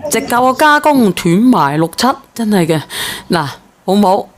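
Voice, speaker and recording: A woman's voice: medium pitch, sing-song, moderate pace, a little hiss or hum. A woman with a Hong Kong accent hosting a call-in chat, blunt and pressing in her questions, with coarse slang. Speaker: call-in show host.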